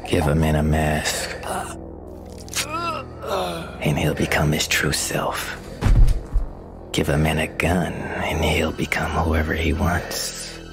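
A man acts out a brooding masked killer narrating a noir audio drama. The voice is extremely low and gravelly, with slow, ominous delivery over prominent music. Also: monotone, heavily acted. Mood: grim, brooding. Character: brooding masked male killer, dark and world-weary.

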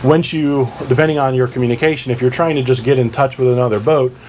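A man's voice: mid-range voice, steady tone, quick pace, darkish tone. A man giving an informal instructional explanation as a presenter, plain-spoken and working through things step by step. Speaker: instructor presenting a boat radio guide. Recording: clear background ambient noise.

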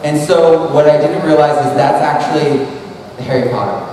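A man in his thirties talks loosely and rambles, restarting sentences and dropping "like" everywhere, his voice sitting a little high. He is caught from far off in a big hall, with a long reverb trailing each phrase and faint background noise. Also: steady tone, moderate pace, warm tone. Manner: rambling, casual, full of "like" and restarts. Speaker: speaker. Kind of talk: conference talk. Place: large hall at an expo. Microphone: handheld mic. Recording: echoey hall recorded from far off.

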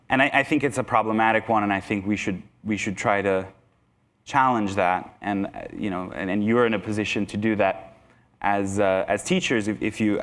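A young man from Iran giving a conversational lecture to teachers in a conference hall, speaking into a lapel mic with many fillers and restarts. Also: fairly low pitch. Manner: conversational, self-deprecating, frequent restarts and fillers. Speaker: guest speaker. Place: conference hall, indoors, large.

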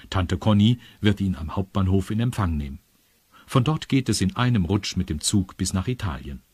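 A man's voice: low-pitched, darkish tone, husky, no echo. A man with a standard German accent narrating a scripted children's audio story.

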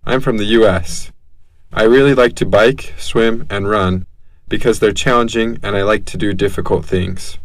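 Low-voiced man reading a lesson. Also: fairly even intonation, normal speed, no echo, rough recording. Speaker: dialogue reader. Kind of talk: scripted language-lesson dialogue reading.

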